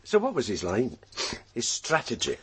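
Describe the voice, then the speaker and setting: An actor playing a gruff, wry police detective with a husky voice in a radio crime drama. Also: male voice, mid-range voice, huge pitch swings, moderate pace, fairly bright tone, full character voice. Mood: probing.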